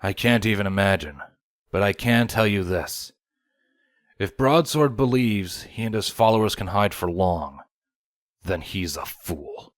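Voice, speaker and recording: A man voicing a grave, dutiful guard officer with a formal, measured manner in a fantasy story reading. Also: on the low side, animated, no room sound, clean studio sound. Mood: grim.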